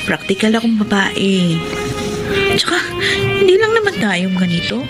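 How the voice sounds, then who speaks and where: A woman's voice: medium pitch, very animated, unhurried. A woman playing a practical, frank young saleslady with a Filipino accent in a romantic radio drama. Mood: frank, reassuring. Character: practical young saleslady, girlfriend and dutiful daughter. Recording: radio drama with music behind voice.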